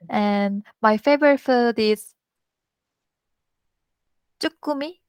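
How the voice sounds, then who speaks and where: Woman, very slow shy talk, higher voice, dry sound. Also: clean tone, dry recording. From South Korea. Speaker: participant. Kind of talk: casual online group chat.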